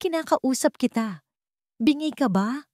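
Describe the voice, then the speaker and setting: A woman's voice: high-pitched, animated, measured pace, darkish tone, no room sound, clean studio sound, voice pushed up. A Filipino woman voicing an arrogant, bullying husband in an audio drama story, with a scornful, blustering manner. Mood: scornful, angry.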